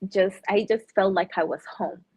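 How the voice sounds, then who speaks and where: A woman's voice: medium pitch, moderate pace, a little mellow, husky. A woman from Russia who has also lived in Colombia, chatting loosely and enthusiastically as an interview guest on dull, lo-fi audio. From born in Russia, lived in Colombia. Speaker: NFT artist, interview guest. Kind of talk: casual chat.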